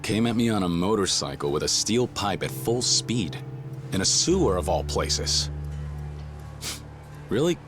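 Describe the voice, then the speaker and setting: A man's voice: on the low side, very animated, a little slow, long pauses. An actor voicing a stoic, terse young male yakuza brawler in an English game dub, with a dry, clipped, understated delivery. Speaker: game character. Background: game soundtrack music.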